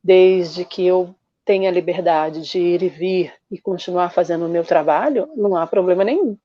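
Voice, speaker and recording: A woman from Rio de Janeiro with a Carioca accent, a journalist guest explaining patiently with many pauses in a casual podcast chat. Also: fairly low pitch, dry recording, lo-fi sound. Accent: Carioca Brazilian Portuguese. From Rio de Janeiro, Brazil.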